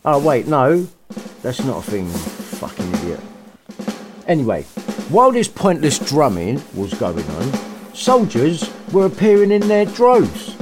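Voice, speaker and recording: A man's voice: a little high, huge pitch swings, unhurried, long pauses, over music. A man narrating a storybook comically, with irreverent, sarcastic, sweary asides and a theatrical manner. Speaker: story reader and podcast host.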